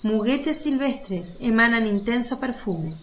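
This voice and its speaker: A woman's voice: on the high side, animated, slow pace. A woman narrating a religious radio drama in a descriptive, literary reading style. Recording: faint background noise of birds and crickets.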